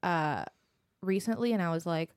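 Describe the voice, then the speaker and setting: A woman's voice: lowish voice, moderate pace, clean tone, no room sound, studio quality. A young woman from Puerto Rico with a Puerto Rican accent, a podcast guest chatting casually with lots of fillers and laughs. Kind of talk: casual chat.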